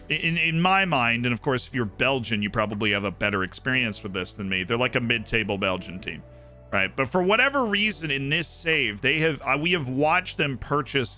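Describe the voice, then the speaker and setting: An excitable male streamer talks his viewers through a football management save, reacting to results and answering chat as he goes. His voice sits at an ordinary pitch but sounds very bright and keen-edged. Soft music plays quietly behind him. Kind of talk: casual live-stream commentary to chat.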